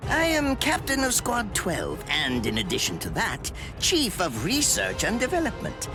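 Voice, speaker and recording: An actor playing a gleefully sadistic mad-scientist captain in an anime game, theatrical and mock-polite with condescension. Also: male voice, high voice, wildly swooping pitch, measured pace, full character voice. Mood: smug, condescending. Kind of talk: anime video game voice acting. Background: music.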